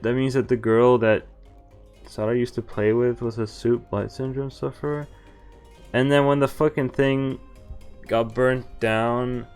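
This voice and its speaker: A man's voice: mid-range voice, clear, resonant tone. A man streaming a visual novel, reading its text aloud and breaking off to swear and react. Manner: reads narration evenly, breaks off to swear and react.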